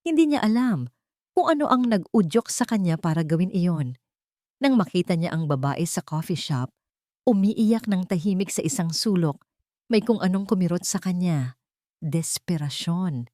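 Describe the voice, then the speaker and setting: A woman narrating a romance story in a dramatic, scripted style with deliberate, suspenseful phrasing. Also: fairly low pitch, lively intonation, dark tone, dry recording, clean studio sound.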